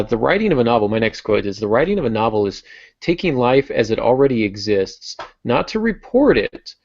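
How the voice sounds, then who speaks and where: A man's voice: mid-range voice, darkish tone, no echo. A middle-aged man co-hosting a podcast, chatting about books in a reflective, wryly self-deprecating way. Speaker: podcast co-host and writer. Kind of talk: casual literary discussion. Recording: muffled lo-fi podcast audio.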